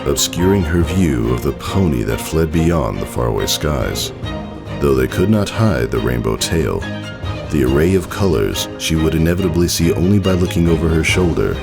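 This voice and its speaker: A man narrating a scripted story dramatically, in a solemn and measured manner. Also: a bass voice, gravelly. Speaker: fan fiction narrator. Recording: soft music behind the voice.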